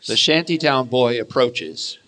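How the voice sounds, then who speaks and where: A man's voice: medium pitch, a little slow, bright, forceful tone. A man narrating a radio play in a scripted storytelling style. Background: music.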